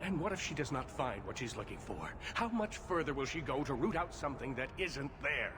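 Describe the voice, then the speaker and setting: An actor playing a proud, indignant leader of mages in a fantasy game, with a formal, theatrical delivery. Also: male voice, mid-range voice, lively intonation, brisk, noisy background, close to an everyday voice. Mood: indignant, defensive. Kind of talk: dramatic fantasy game acting.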